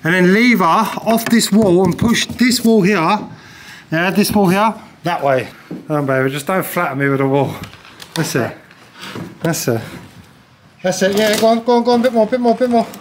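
A man's voice: high-pitched, expressive, normal speed, slight background noise. A man in his thirties from England, chatting casually and coaxing his partner while stripping out a stable. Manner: bossy, coaxing, sweary. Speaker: vlogger. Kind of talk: casual vlog chat while renovating. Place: empty wooden stable building, indoors. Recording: echoey stable with music underneath.

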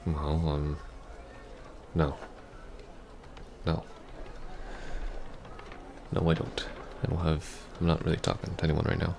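A man playing an awkward, apologetic young ex-boyfriend in an ASMR roleplay, halting and stammering. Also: a bass voice, little pitch movement, creaky, noisy background, full character voice. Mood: sheepish, guarded. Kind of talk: ASMR roleplay, soft intimate acting.

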